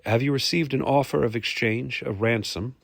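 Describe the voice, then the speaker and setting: Guy doing a charming rogue mercenary for an audiobook, cocky, smooth, teasing. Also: mid-range voice, normal speed, no room sound, voice pushed up. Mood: questioning. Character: charming, roguish male mercenary leader, cocky flirt.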